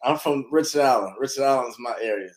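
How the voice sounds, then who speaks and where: A man's voice: medium pitch, fast. Philly guy, easygoing, rambles through his story with lots of um's, mid voice. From North Philadelphia, USA. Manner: relaxed, rambling, lots of fillers. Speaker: guest, real estate investor and lender. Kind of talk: casual interview storytelling.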